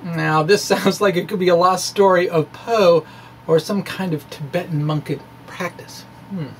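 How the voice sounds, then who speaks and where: A middle-aged man giving a dramatic reading of a science blog, with deliberate, emphatic phrasing and informal asides. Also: high-pitched, fairly even intonation, a little hiss or hum. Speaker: presenter, science blogger.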